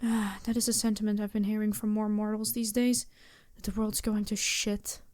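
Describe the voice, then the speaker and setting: A woman voicing a poised, imperious yet compassionate demon princess in an intimate ASMR roleplay, with a deliberate, softly commanding manner. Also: mid-range voice, level tone, no echo, natural voice. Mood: exasperated.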